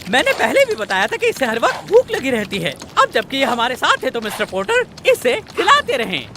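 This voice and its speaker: A woman's voice: high-pitched, wildly swooping pitch, brisk, a little hiss or hum, exaggerated voice. A woman voicing a clever, bossy young cartoon adventurer, speaking in an instructive, emphatic way. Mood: explaining. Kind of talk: Hindi cartoon dub acting. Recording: loud music under the voice.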